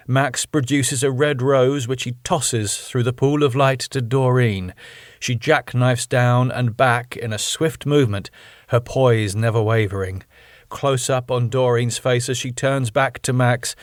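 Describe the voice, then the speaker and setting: A man reading scripted stage directions as narrator, in measured, deliberate and dramatic phrases. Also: medium pitch, no echo, studio quality. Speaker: narrator reading his own sitcom script.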